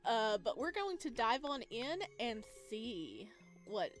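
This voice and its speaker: A woman with a high voice hosts a gaming video over clear background music. Her commentary is playful and gushing, full of exclamations and cutesy slang. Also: sing-song, takes it slowly. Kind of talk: excited let's play commentary with read-aloud game text.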